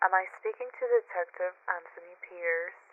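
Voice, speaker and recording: A woman's voice: lowish voice, fairly even intonation, moderate pace, lightly acted. A woman playing an earnest radio news host reporting on an unfolding crisis in a scripted broadcast. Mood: professional, inquiring. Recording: muffled lo-fi radio broadcast sound.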